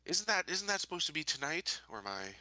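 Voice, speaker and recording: A man playing a tabletop roleplaying game, talking casually and deliberately with frequent hesitant pauses. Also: a little high, sing-song, sharp tone. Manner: deliberate, hesitant, pausing often.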